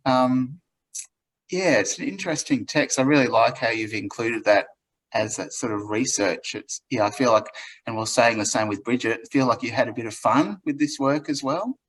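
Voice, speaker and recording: A man in the role of gallery director hosts a relaxed artist talk. He sounds easygoing and encouraging, and his speech is full of fillers. His voice sits at a mid pitch, and a faint background noise runs underneath it. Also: moderate pace, poor audio. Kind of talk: relaxed interview conversation.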